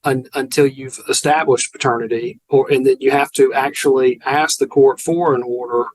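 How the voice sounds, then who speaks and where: A man with a Southern American accent, an attorney guest explaining legal steps haltingly, repeating words. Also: mid-range voice, steady tone, rough recording. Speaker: family law attorney, guest. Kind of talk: explaining legal procedure in conversation.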